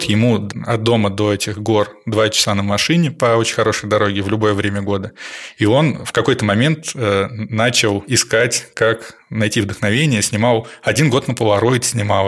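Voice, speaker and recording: A man with a somewhat low voice, relaxed and conversational as a podcast guest. He talks at length and thinks aloud, with plenty of fillers along the way. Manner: thoughtful, detailed, with frequent fillers. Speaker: podcast guest, landscape photographer.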